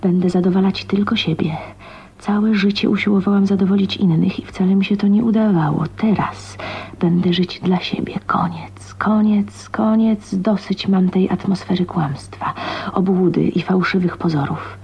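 An actress playing a bitter, lonely spinster of twenty-nine in a radio drama soliloquy, husky, introspective and halting, often half-whispering. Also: female voice, medium pitch, fairly even intonation, darkish tone. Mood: defiant. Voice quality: breathy, husky. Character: bitter, lonely, ailing 29-year-old spinster heroine, soliloquizing. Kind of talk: 1980s radio drama monologue. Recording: dull, muffled radio recording.

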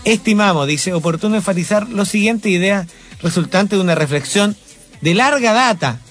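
A man hosting a morning radio show, reading news and public statements in a fairly high voice and slipping in jokes along the way. Faint music plays behind him. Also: expressive, moderate pace, a bit bright. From Chile. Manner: jokey, reads statements aloud with banter. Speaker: radio host.

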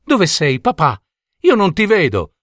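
A man's voice: high-pitched, huge pitch swings, unhurried, no room sound, clean studio sound, voice pushed up, heavily acted. A man voicing a bewildered young girl who speaks eagerly and full of wonder. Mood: longing.

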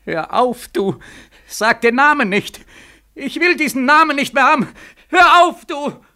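An actor playing a despairing, haunted young war veteran in a 1940s radio play, halting and anguished, swinging from hushed to frantic. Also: male voice, very high-pitched, expressive, heavily acted. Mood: frantic, desperate.

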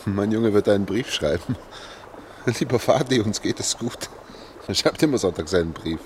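An actor as a lonely father delivering a wistful monologue in a radio drama. His voice is deep and low. Faint noise runs behind him. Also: lively intonation, normal speed, darkish tone, close to an everyday voice. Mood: wistful, tender. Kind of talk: German radio play monologue.

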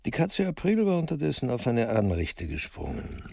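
A man's voice: medium pitch, wildly swooping pitch, normal speed, gravelly, a little mellow, a little hiss or hum. A man narrating a crime radio drama in a scripted, literary style.

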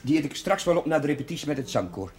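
Guy playing a dry-humored young priest, roomy echo, firm and quick. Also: fairly high pitch, lively intonation, darkish tone, natural voice. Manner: brisk, wry, firm. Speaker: young village chaplain. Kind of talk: 1970s television drama acting. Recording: echoey room, slight hiss.

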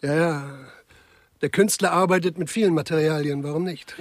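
Actor as harried, higher-voiced villa administrator. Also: male voice, steady tone, moderate pace, dry recording, studio quality, natural voice. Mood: absently placating. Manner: fretful, distracted, absently agreeing. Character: harried, lamenting male administrator of an artists' villa. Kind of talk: grotesque radio crime play.

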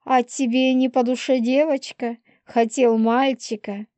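A woman's voice: sits high, level tone, measured pace, a little mellow, dry recording. An actress playing a manipulative pregnant young werewolf woman in an audiobook, spiteful, bitter, taunting and theatrical. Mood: pouting, teasing. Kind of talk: dramatised audiobook dialogue.

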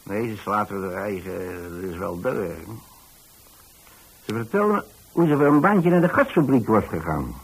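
A man's voice: fairly low pitch, expressive, normal speed, husky, low-quality recording. An actor playing a kindly retired landlord, an older man telling a story in a hesitant, folksy, conversational way for radio drama. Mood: chatty, sympathetic. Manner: hesitant, folksy, chatty, with small asides. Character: kindly retired landlord telling about his young lodger.

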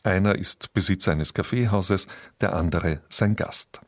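A man's voice: low voice, a little slow, no echo, studio quality. A man giving a scripted introduction as a radio drama announcer. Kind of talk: scripted narration. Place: dry studio.

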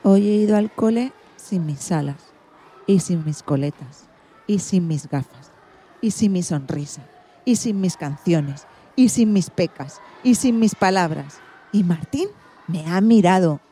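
A woman's voice: mid-range voice, expressive, a little slow, dark tone, breathy edge. A woman playing an earnest, dreamy schoolgirl in a radio play of a children's storybook. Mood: reflective.

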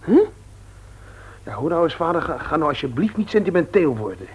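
An insolent, husky-voiced rebellious son. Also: male voice, on the high side, very animated, brisk, exaggerated voice. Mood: flippant, impatient. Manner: flippant, cool, hesitant when pressed. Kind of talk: radio play acting. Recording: old radio play recording.

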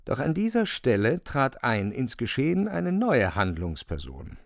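A man's voice: medium pitch, huge pitch swings, a little slow, creaky, dry recording, studio quality. A man narrating a radio drama in a scripted, theatrical storytelling style.

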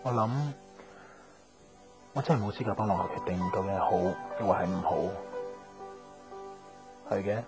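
An actor playing a hesitant, secretly jealous young man, a close friend, in a radio drama, halting and introspective, with a Hong Kong Cantonese accent. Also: fairly low pitch, natural voice. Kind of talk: radio drama dialogue and inner monologue.

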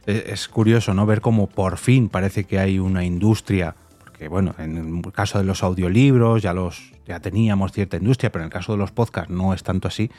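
A man's voice: deep voice, steady tone, brisk, few pauses, mellow, soft background music. A man with an accent from Spain hosts a casual interview, commenting at length in a conversational way. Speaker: podcast host interviewing a guest.

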